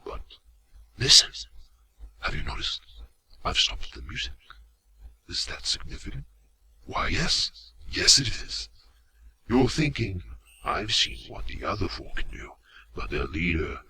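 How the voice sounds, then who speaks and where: Villainous robot warlord for audio drama, male actor: eloquent, menacing, mock-courteous, very unhurried with theatrical pauses, crisp forceful edge, slight home-recording noise. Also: low-pitched, full character voice. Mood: smug, sinister. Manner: theatrical, deliberate, with dramatic pauses and drops to whispers.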